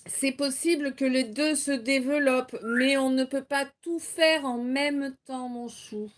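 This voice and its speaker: French lady doing a live card reading, chatty n laughy, high voice, takes it slow. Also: steady tone. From Normandy, France. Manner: chatty, laughs often, reads chat aloud. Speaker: tarot reader hosting a live stream.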